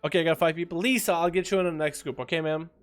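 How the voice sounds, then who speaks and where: A man's voice: fairly high pitch, fairly even intonation, rapid-fire, clean tone, faint music behind. A young man from Russia with a slight Russian accent, streaming a game and chatting in a loose, joking way with his viewers. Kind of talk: casual livestream chat.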